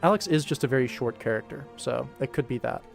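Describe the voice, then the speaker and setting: A man's voice: medium pitch, fast talker, vocal fry, soft background music. Casual man rambling through a work stream. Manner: informal, rambling to himself and viewers. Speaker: streamer and animator. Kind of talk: casual work-stream commentary, thinking aloud.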